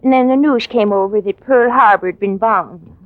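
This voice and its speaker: A woman playing a respectful, wistful housekeeper in 1940s radio drama style, halting and confiding. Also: medium pitch, no room sound, natural voice. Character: deferential, long-serving female housekeeper, wistful. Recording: dull, dry vintage radio recording.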